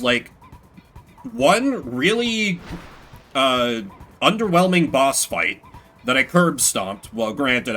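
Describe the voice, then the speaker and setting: A man streaming games, chatting casually with viewers in a rambling, story-telling way. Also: medium pitch. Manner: rambling, animated retelling with acted-out quotes. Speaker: game streamer. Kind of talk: casual livestream chat with viewers.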